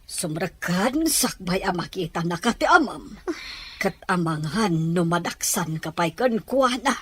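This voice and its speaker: A woman plays a protective, stern mother in a drama. Her husky voice moves in animated swings as she scolds with anxious urgency. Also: lowish voice, unhurried. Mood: anxious, scolding.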